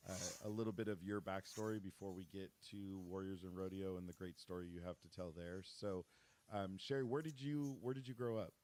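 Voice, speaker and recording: A man co-hosting a podcast in casual chat, loud and joking. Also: low-pitched, a little fast. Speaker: podcast co-host.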